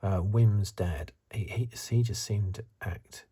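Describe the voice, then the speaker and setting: A man giving soft-spoken, half-whispered ASMR commentary in a husky, breathy voice, musing and rambling as he goes. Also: on the low side, takes it slowly. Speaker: ASMR reviewer.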